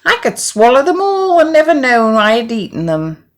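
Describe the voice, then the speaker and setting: A woman's voice: on the high side, normal speed, pitched above the performer's own voice. A woman voicing a dismissive, disgusted farmyard duck in a storybook, with a scornful way of talking. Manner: scornful, flatly refusing.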